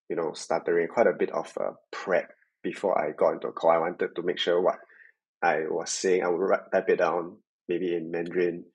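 A man's voice: low voice, breathy edge, dull audio. A young Singaporean man speaking reflectively as a guest in a podcast interview. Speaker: podcast guest, tech sales worker.

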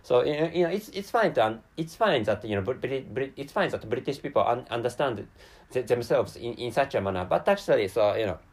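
A man from Japan with a Japanese accent, a native Japanese speaker, giving an unscripted podcast monologue in a very halting, rambling way with stammered repeats of words and syllables. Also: medium pitch, animated, breathy edge. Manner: rambling and digressive. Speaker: podcast host.

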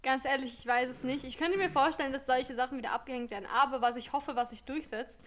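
A woman's voice: high-pitched, fast, fairly bright tone. Giggly rambling young woman chatting. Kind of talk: casual chat.

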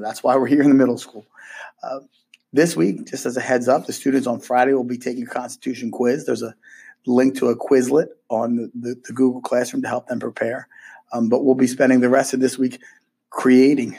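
A man who teaches middle school social studies gives families his weekly podcast update. He is hoarse from a cold and chats casually, with frequent ums and restarts. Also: mid-range voice, a little mellow.

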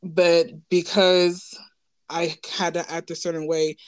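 A woman's voice: fairly low pitch, flat delivery, slow pace, no room sound. A woman speaking informally and candidly in a personal conversation, often checking in for agreement as she goes.

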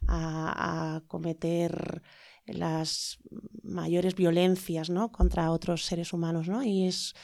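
A woman's voice: fairly low pitch, level tone, takes it slowly, gravelly, darkish tone, no room sound, studio quality. Hesitant, thoughtful Spanish woman guest. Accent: Spain Spanish. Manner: hesitant, many fillers and tag questions. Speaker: interview guest, lawyer. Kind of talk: reflective conversational interview.

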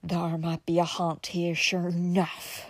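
A woman voicing a rough, superstitious male moonshiner in an audiobook, with a drawl and hoarse whispering. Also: fairly high pitch, monotone, takes it slowly, no echo. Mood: awed, frightened. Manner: drawling backwoods dialect, hoarse frightened whispers. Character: rough old mountain moonshiner, superstitious and loyal.

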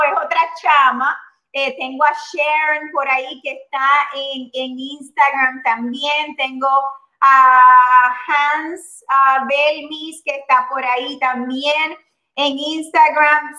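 A woman's voice: high voice, little pitch movement, slow, sharp tone, roomy sound, rough recording. A woman hosting a casual live stream. She chats animatedly, greets viewers by name and slips English words into her Spanish. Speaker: host of a live social media show.

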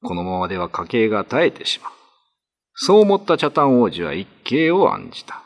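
A man's voice: on the low side, sing-song, brisk. A man narrating a classical ghost story for radio drama in a theatrical, ominous, scripted style. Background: music.

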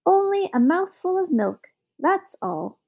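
A woman's voice: very high-pitched, sing-song, unhurried, phone-quality sound, pitched above the performer's own voice, heavily acted. A woman voicing a tiny, wistful green magic man in a storybook, with a thin, sing-song, pleading call. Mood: chanting, pleading. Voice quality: thin, clear, bell-like calling.

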